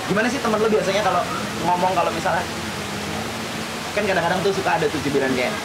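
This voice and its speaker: A young man hosting a casual chat at a table in a small room, talking quickly with his hands. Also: high voice, very noisy. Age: young adult. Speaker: host. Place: small indoor room with brick wall.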